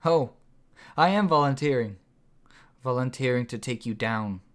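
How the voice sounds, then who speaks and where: A man's voice: medium pitch, unhurried, lightly acted. A man voicing a defiant, blunt male rebel leader in an audiobook. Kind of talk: audiobook character voice.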